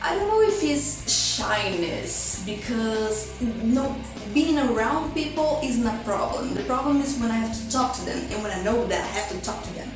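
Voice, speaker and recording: A woman's voice: on the high side, animated, moderate pace, recorded from a distance. A young woman from Brazil with a Brazilian Portuguese accent, talking in a chatty, self-deprecating way to her own camera. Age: young adult. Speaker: vlogger. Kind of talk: candid personal vlog monologue. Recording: echoey room, off-mic, music under.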